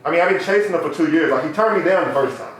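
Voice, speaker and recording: A man's voice: a little high, racing, a bit bright. A man hosting a podcast interview, talking in loose, joking, slangy banter. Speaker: podcast host. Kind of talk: casual interview chat. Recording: very echoey room.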